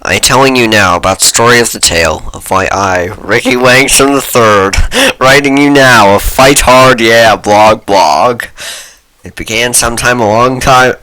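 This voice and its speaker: A man reading out the part of a boastful, fight-obsessed male blogger, in halting and emphatic broken phrasing. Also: mid-range voice, bright, forceful tone, low-quality recording. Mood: boastful, earnest.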